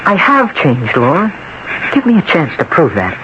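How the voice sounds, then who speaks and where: Earnest, animated radio leading man. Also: a little high, normal speed, background noise. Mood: earnest, pleading. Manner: dramatic, earnest delivery. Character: anguished, earnest man trapped in another's body. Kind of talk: 1970s radio drama acting, first-person narration. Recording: muffled old radio broadcast.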